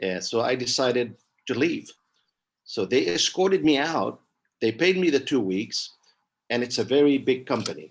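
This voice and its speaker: A man's voice: mid-range voice, big pitch swings, normal speed. A man who owns a restaurant telling a panel how he started, conversational with frequent uhs and restarts. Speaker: restaurant owner. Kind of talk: panel discussion storytelling.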